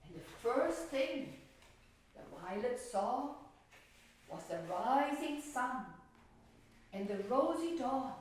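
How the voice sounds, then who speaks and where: A woman teacher speaks to young children in a fairly high voice, shaping simple phrases at a very slow pace. She moves between storytelling and craft instruction. The sound is strongly reverberant, as in a bare room with the microphone set away from her. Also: expressive. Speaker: teacher telling a story and leading a painting lesson. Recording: very echoey room, distant microphone.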